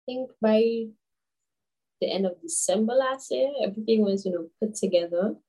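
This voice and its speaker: A woman in her twenties from Grenada, a guest telling stories in a casual interview, chatty and animated with many fillers. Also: on the high side, moderate pace, rough recording. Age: 20s. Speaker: guest, children's author.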